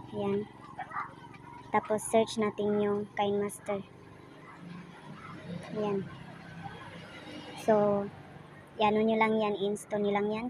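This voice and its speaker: A woman with a Filipino accent giving a casual step-by-step tutorial in a halting, self-correcting way, like someone demonstrating as she goes. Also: medium pitch. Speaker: tutorial presenter. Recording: noisy home recording with animal sounds.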